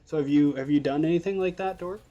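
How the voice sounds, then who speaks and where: A man's voice: fairly high pitch, fast, warm tone, highs cut off, soft background music. A man hosting a casual chat, giving short agreeing responses and reading out viewer questions. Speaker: host.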